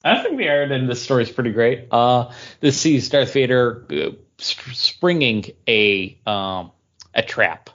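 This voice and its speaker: A man's voice: mid-range voice, animated, unhurried, fairly bright tone, highs cut off. A man co-hosting a podcast, recapping and discussing casually, often searching for his words. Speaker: comics podcast co-host.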